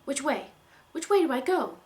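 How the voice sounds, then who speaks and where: A woman's voice: high-pitched, very animated, moderate pace, voice pushed up. Woman reading as a snarky, skittish male unicorn. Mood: frantic. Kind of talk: storybook character reading.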